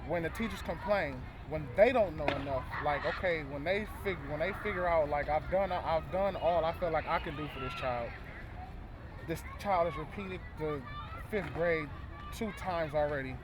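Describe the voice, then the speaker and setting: A man's voice: on the high side, normal speed. A man speaking candidly and reflectively in an interview, earnest and repeating phrases to drive his point home. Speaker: interviewee, former gang member. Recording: very noisy outdoor street, traffic. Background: loud ambient traffic and vehicle noise.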